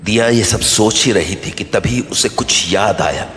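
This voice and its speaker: A man's voice: lowish voice, a little slow, fairly bright tone, slight background noise. A man narrating a scripted horror story as the storyteller. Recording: long reverb over background music.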